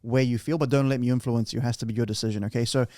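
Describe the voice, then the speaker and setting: A man hosts a podcast in a loose, playful way, tripping over and restarting his sentences as he talks. His voice sits at an ordinary middle pitch. The sound is dry and close to the mic. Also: steady tone, very fast, dark tone, no room sound. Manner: chatty, playful, with many restarts. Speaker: podcast host. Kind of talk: casual podcast chat.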